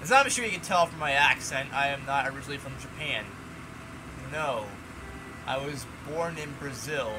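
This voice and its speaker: A man's voice: mid-range voice, animated, moderate pace, background noise, pitched below the performer's own voice, natural voice. A man reading as a formal magic teacher. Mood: lecturing. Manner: formal, lecturing.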